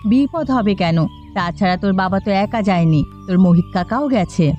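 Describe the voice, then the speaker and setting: A woman's voice: mid-range voice, lively intonation, fairly quick, mellow, lo-fi sound, soft background music, close to an everyday voice. A woman voicing a firm, reassuring but brusque village mother in a cartoon. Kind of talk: Bengali moral-tale cartoon dubbing.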